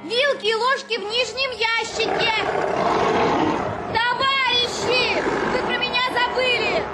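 A woman's voice: extremely high pitch, steady tone, measured pace, over music. An actress playing an indignant young woman prisoner calling out and pleading in a comedy radio play.

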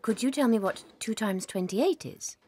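An actress playing a sweet, gentle British primary school teacher in a dramatised audiobook, speaking politely, patiently and earnestly. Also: female voice, a little high, animated, normal speed, background noise, lightly acted. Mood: patient, encouraging.

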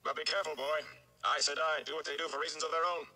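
Storybook role: wary old male gleeman played by a man, reluctant adviser, very forceful edge. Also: on the high side, steady tone, fast, vocal fry, voice pushed up, heavily acted. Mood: urgent warning. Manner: reluctant, cautioning. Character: cagey old male gleeman, warning a boy.

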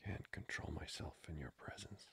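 A man playing a flirty, hesitant vampire in an ASMR roleplay, speaking haltingly in a breathy whisper. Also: extremely low pitch, pushed and bright, dry recording, heavily acted. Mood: apologetic, conflicted. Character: flirty, hesitant, lustful male vampire, tsundere.

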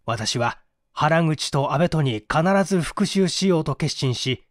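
A man delivers dramatic story narration in a fairly high male voice. His intonation is very lively, with measured theatrical phrasing. The sound is dry and close, free of room echo. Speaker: audiobook reader and small-theatre actor.

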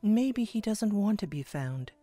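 A woman's voice: medium pitch, animated, a little slow, dark tone, dry recording. A woman playing a composed, skeptical, motherly royal attendant in an audio drama, speaking formally.

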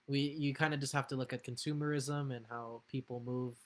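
A man's voice: mid-range voice, fairly quick. Young man speaking over a video call. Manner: relaxed, with filler words. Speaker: guest. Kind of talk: casual chat. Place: home room.

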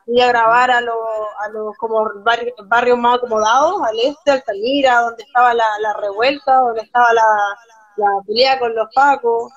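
A young woman from Chile speaks with a Chilean accent, earnest and fast, circling back to restart her sentences. Her voice sits a little high. The sound is dull and lo-fi, as from a phone camera on a video call. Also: fairly even intonation. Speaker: guest, documentary photographer. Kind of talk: casual live-stream interview. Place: small room at home, indoors.

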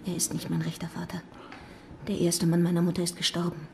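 An actress playing a shy, earnest, devout young mountain girl, breathy and hushed, in a radio drama. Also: on the low side, monotone, fairly quick, a little hiss or hum.

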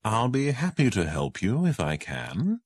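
A man voicing a self-assured male detective in a crisp, confident manner. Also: fairly low pitch, wildly swooping pitch, unhurried, dry recording, clean studio sound, voice pushed up, exaggerated voice. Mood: brisk. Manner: crisp, confident, slightly lifted.

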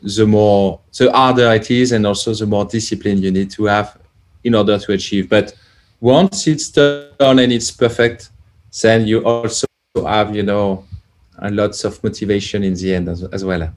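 A man, a plug-in developer guest, answering casually with many pauses as he searches for words over a video call. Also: lowish voice, steady tone. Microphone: headset on video call.

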